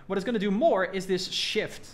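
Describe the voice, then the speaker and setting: Higher-voiced man hosting a casual tutorial. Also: moderate pace, crowd noise. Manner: informal, explains step by step while demonstrating. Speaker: music production tutorial host. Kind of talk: casual software tutorial narration.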